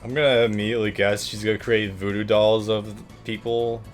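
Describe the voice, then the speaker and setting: A man's voice: fairly low pitch, flat delivery, fairly quick, faint music behind. A man from the United States co-hosting a let's play, chatting casually and swearing freely. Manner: casual and profane. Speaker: let's play co-host and gamer. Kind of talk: casual gaming chat and commentary.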